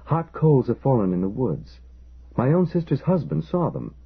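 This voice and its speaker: A frightened, hesitant middle-aged storekeeper, as a male narrator puts him on, pleading and insistent, his voice rising high and swinging wildly as his worry grows. The voice sounds husky, and the recording is dull and lo-fi. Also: huge pitch swings, moderate pace, heavily acted.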